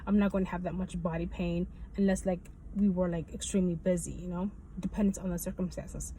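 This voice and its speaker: A woman's voice: lowish voice, fairly even intonation, fairly quick, dark tone. A woman talking casually in a personal vlog update, rambling and repeating her phrases as she goes. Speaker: video blogger giving a health update. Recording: faint background noise.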